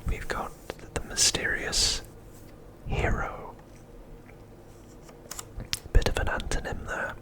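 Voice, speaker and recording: An ASMR host giving an unscripted show-and-tell commentary in a breathy, unvoiced whisper, hushed and unhurried.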